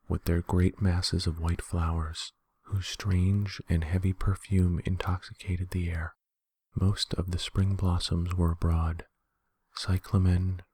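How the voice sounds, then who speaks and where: A man reading a horror story as a narrator in a quiet, measured, soft-spoken ASMR style. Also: low voice, vocal fry, husky, no echo. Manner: quiet, measured, hushed delivery.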